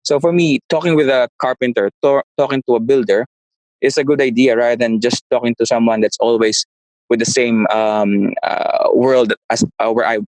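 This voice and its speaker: A man's voice: medium pitch, steady tone, normal speed, a little mellow, no room sound, rough recording. A man, answering interview questions in a casual way and often searching for words. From Philippines. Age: 30s. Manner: searches for words, frequent restarts. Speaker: guest, property investor.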